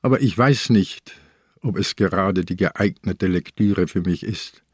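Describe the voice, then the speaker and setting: Husky, dry old police inspector. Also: male voice, low-pitched, no room sound. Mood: dry, wry. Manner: terse, dry, unhurried. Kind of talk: German radio crime drama acting.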